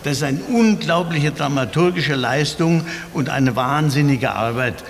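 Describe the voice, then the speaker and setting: A man's voice: on the high side, unhurried. A man giving admiring commentary in an interview. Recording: clearly noisy ambient recording.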